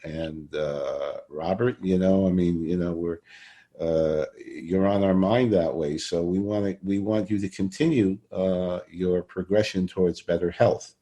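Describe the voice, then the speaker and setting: An older man hosts a conversational interview, haltingly and often repeating his phrases. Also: deep voice, steady tone, dark tone. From Brooklyn, New York. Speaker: podcast interviewer.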